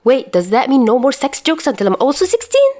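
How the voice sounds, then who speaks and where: A woman's voice: high-pitched, big pitch swings, brisk, darkish tone, no echo, clean studio sound, voice pushed up. A woman voicing a playful, teasing but caring teenage boy in a story reading.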